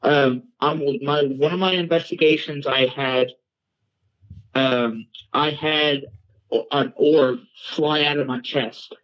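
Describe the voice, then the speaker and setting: Male interview guest, dull muffled sound, very slow halting delivery, plain-spoken. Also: a little high, steady tone. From born in Norway, raised in Newfoundland, Canada. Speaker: guest, paranormal investigator and medium. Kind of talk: casual interview answers.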